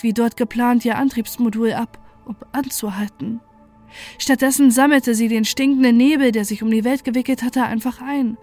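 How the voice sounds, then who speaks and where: A woman acts as a rocket scientist telling her own horror story. Her voice is fairly high, intense and dramatic, defiant and desperate, with music underneath. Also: mellow, lightly acted. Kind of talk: dramatic first-person horror story narration.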